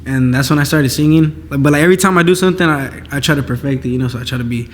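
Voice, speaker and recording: A man's voice: medium pitch, rapid-fire. A young man whose first language is Spanish, talking in a laid-back way as a guest singer, with many likes and restarts. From Southern California. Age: young adult. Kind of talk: casual interview chat.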